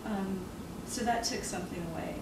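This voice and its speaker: A woman's voice: medium pitch, normal speed. Woman author, earnest and reflective, laughs easily; distant mic, roomy, noisy room. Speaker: author interviewed about her novel. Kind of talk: casual interview conversation.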